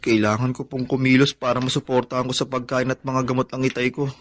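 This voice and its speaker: A man's voice: mid-range voice, flat delivery, fast talker, natural voice. A Filipino man playing a devoted, long-suffering son in a radio drama, earnest, plaintive and respectful. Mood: earnest, resigned. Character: devoted, suffering, long-abused son who scavenges for junk.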